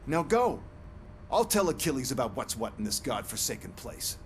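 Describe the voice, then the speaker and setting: An actor playing an irritated, bossy police superior in a video game, curt and scornful. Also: male voice, medium pitch, sing-song, moderate pace, slight background noise, lightly acted.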